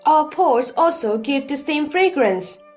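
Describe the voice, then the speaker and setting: A woman's voice: high voice, animated, a little slow, a little mellow. A woman playing an earnest, reverent disciple in a scripture audio drama, explaining things formally. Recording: background music under the voice.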